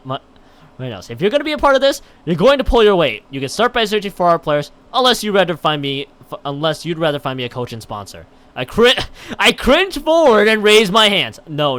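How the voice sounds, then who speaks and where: A man's voice: fairly high pitch, fairly bright tone. A man livestreaming, chatting and reading a visual novel aloud, often stumbling over and rereading his lines. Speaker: live streamer.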